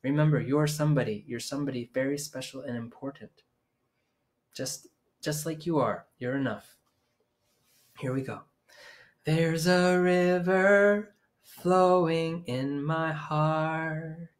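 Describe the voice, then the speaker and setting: A man in his thirties leading young children in songs with hand signs, gentle and encouraging, recorded on a webcam in a small room at home. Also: a little high. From Maine, US. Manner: gentle, encouraging, slow sing-along. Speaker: children's music teacher. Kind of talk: singing children's songs with sign language. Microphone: webcam at desk distance.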